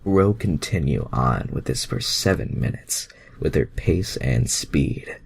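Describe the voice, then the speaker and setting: A man's voice: extremely low pitch, lively intonation, a little slow, creaky, husky. A man reading fan fiction aloud as an amateur narrator, with sweary, self-mocking, exasperated asides.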